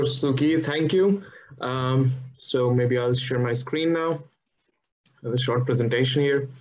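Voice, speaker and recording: Student from India in his twenties, slightly high voice, dull call audio, hesitant. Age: 20s. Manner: hesitant, with many uh fillers. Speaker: student presenter. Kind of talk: student presentation. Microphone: video call.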